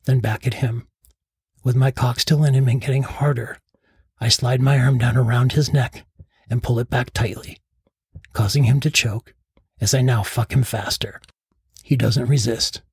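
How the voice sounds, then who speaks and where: Husky man narrating, close dry sound. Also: mid-range voice, a little mellow, dry recording. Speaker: podcast host. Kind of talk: scripted first-person storytelling narration.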